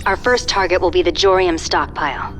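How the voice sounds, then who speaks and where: A woman's voice: lowish voice, brisk, fairly bright tone, soft background music, close to an everyday voice. A woman voicing a cool, determined psychic covert agent in a video game, controlled and military in tone. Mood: businesslike briefing. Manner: controlled, crisp, military briefing tone. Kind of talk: video game cutscene acting.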